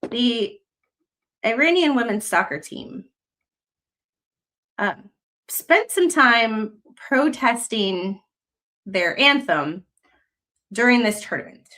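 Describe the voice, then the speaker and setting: Woman host, casual livestream chat, rambling, lots of ums, reads news out loud. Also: fairly high pitch, lively intonation, slow pace, pauses often, poor audio. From United States. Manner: rambling, many fillers and restarts; reads news excerpts aloud.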